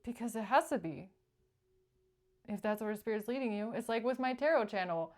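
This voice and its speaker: A woman hosting a tarot reading, speaking spontaneously to viewers and repeating short phrases for emphasis between pauses. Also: fairly high pitch, expressive. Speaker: tarot reader and channeller, show host.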